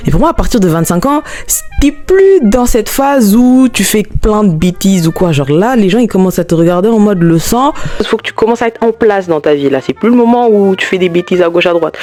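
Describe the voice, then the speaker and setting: A woman, chatting casually to camera in her own vlog, expressive and chatty, jumping up high when excited. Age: 20s. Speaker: YouTuber.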